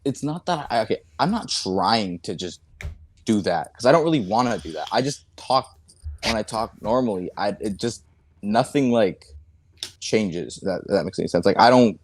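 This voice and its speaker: Hesitant, halting man with a deep voice. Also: animated. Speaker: content creator answering accusations.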